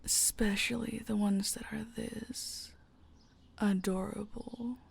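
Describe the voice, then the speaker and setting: Slow-talking, possessive female mafia boss, voiced by a woman. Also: mid-range voice, steady tone, exaggerated voice. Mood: teasing, dominant. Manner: slow, teasing, commanding, often dropping to breathy whispers. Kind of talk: intimate audio roleplay, scripted.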